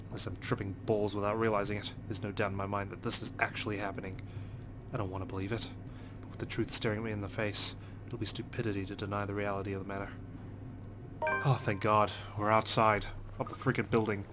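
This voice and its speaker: A man's voice: on the low side, level tone, quick pace, background noise. A man hosting a let's play, reading visual novel narration expressively with side comments and frequent swearing.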